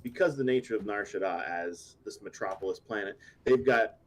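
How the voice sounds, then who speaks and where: A man narrating as game master, hesitant and full of pauses while he checks his notes. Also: fairly low pitch. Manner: halting, many fillers while reading up.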